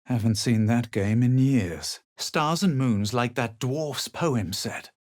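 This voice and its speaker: A man playing a self-assured, aloof adventurer hero in a video game, speaking with measured, dramatic delivery. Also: medium pitch, expressive. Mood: self-assured, musing. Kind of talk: video game voice lines, scripted narration and barks.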